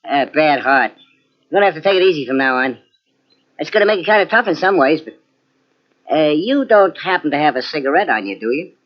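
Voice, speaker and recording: An actor playing an easygoing small-time pickpocket with casual, slangy street talk in a vintage radio mystery. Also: male voice, a little high, lively intonation, brisk, close to an everyday voice. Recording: muffled vintage radio drama on cassette.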